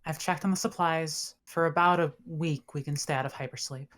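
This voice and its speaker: A woman's voice: low voice, a little fast, natural voice. A woman playing a blunt, practical spaceship officer in a sci-fi script table read. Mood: businesslike.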